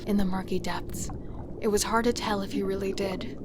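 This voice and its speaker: A woman playing a remorseful, tender serial killer, narrating a horror audio drama in a soft, intimate, partly whispered delivery. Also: medium pitch, little pitch movement, moderate pace, very noisy, natural voice. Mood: bittersweet, resigned. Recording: loud music bed.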